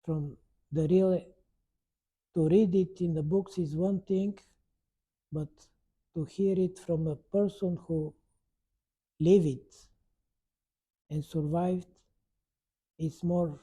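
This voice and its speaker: A man, giving an oral history interview as a survivor, halting and searching for words in an earnest way. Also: a little high, fairly even intonation, warm tone, breathy edge. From Bulgaria. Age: 90s.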